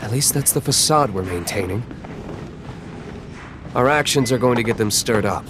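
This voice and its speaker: A man's voice: medium pitch, animated, moderate pace, noisy background, lightly acted. A man playing a brooding, aloof young nobleman vigilante in an anime-style video game, curt and reserved. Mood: guarded.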